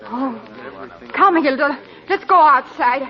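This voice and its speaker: An actress playing a frightened, squeamish young museum visitor, fretful and urgent in 1940s radio style. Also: female voice, sits high, expressive, takes it slowly, faint music behind, exaggerated voice. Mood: uneasy, repelled. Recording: dull lo-fi 1940s radio recording.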